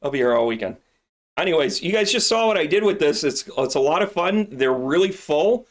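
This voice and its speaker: A man's voice: a little high, expressive, fairly quick. Joking, rambling male shop host. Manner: joking, rambling, salesy asides. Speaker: shop owner and live-stream host. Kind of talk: casual product demo on a live stream.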